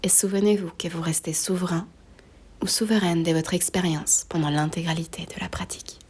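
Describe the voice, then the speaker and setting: A woman guiding a slow meditation in a soft, breathy near-whisper, gently giving unhurried instructions with long pauses. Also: on the low side, fairly even intonation. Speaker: yoga nidra guide.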